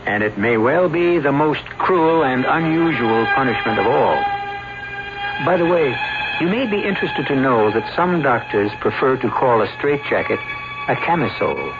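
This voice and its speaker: A man hosting an old-time radio mystery show, narrating from a script in a measured, theatrical, wry way. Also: medium pitch, expressive. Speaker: host of radio mystery series. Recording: muffled vintage radio, clear hiss.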